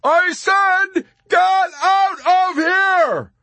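A man's voice: extremely high pitch, drawn-out words, bright, forceful tone, no room sound, pitched above the performer's own voice, full character voice. A man voicing a hysterical, terrified airman in an audiobook, shrieking and beseeching in frantic frustration. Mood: screaming panic. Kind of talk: audiobook character acting.

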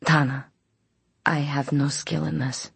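A woman's voice: deep voice, fairly even intonation, unhurried, gravelly, a little mellow, breathy edge, dry recording, heavily acted. A woman voicing a brooding male vampire who speaks in an oddly formal, old-fashioned way in an audiobook story. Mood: earnest, pleading. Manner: oddly formal, ornate speech.

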